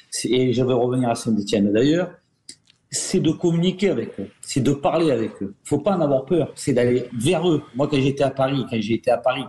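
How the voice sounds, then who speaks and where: Marseille-accented male pundit, mellow tone, forceful and repetitive, roomy studio. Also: mid-range voice. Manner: emphatic, repeats points. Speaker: football pundit. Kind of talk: radio debate.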